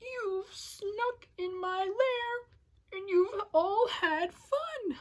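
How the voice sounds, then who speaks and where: A big, blubbering, left-out bear from a children's storybook, put on by a woman. He grumbles and wails in a high voice, slowly drawing out his words. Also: animated, exaggerated voice. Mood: indignant.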